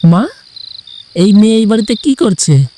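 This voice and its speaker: A man's voice: high voice, lively intonation, unhurried, warm tone, a little hiss or hum. A man playing an irritable, curt young man in an audio story, scolding and exasperated. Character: irritable young man pestered by his lovestruck cousin. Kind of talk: Bengali audio story acting.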